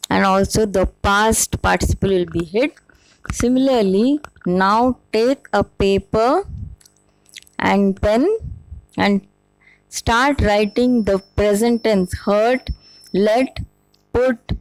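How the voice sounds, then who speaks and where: Indian woman teaching English slowly. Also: medium pitch, a little mellow, low-quality recording. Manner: slow, deliberate teaching delivery, switching into Hindi. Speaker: language teacher. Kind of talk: spoken English lesson.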